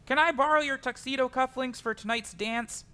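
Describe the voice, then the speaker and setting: Woman acting a bright, excitable teenage boy. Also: high voice. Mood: eager. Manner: brisk, eager, teasing. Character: excitable, cheeky teenage younger brother. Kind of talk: radio drama acting.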